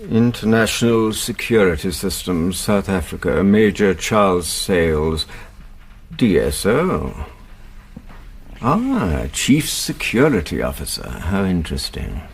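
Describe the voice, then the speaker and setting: Husky, fussy English bank manager. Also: male voice, low-pitched, very animated, takes it slowly, full character voice. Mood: impressed. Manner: fussy, polite, impressed. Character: genteel, gullible, easily impressed bank manager. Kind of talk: radio drama acting.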